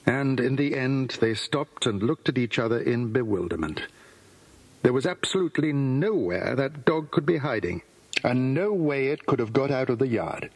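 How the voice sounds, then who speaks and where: A man's voice: mid-range voice, animated, moderate pace, husky. A man with a British accent narrating a scripted children's story.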